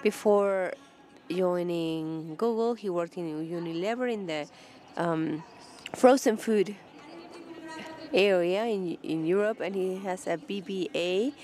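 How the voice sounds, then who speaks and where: A woman presenting at an event, introducing the speaker in a halting way with fillers and restarts. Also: lowish voice, darkish tone, a little hiss or hum.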